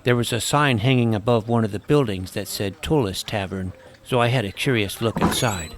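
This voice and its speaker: A man's voice: lowish voice, normal speed, close to an everyday voice. A man playing an earnest, awkward boy who tells his fantasy adventure in wordy, vivid first-person narration. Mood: amazed, recounting. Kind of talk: first-person scripted storytelling in fantasy audio drama. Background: prominent music.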